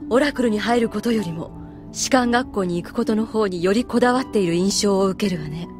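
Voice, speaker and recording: An actress playing a stern, dignified military instructor in an anime audio drama, formal, cool and authoritative. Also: female voice, medium pitch, a little fast, natural voice. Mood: cool, firm. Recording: music behind the voice.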